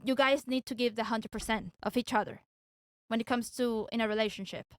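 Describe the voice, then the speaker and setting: Young woman from Venezuela, English, hesitant with restarts, higher voice, smooth clear tone, dry close sound. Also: steady tone, brisk, no room sound. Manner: hesitant, searching for words, many restarts. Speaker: guest. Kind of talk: casual debate talk.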